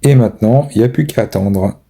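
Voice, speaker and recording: A man giving casual product commentary, thinking aloud with hesitant pauses. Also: fairly low pitch, dark tone. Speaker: amateur video maker reviewing a purchase.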